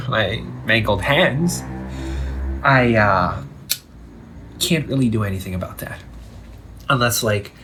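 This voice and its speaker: A man playing an undead older brother returned from the sea, talking in a hushed, halting, teasing way in an audio roleplay. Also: lowish voice, over music, low-quality recording. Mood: casual, rueful. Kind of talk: audio roleplay monologue to the listener.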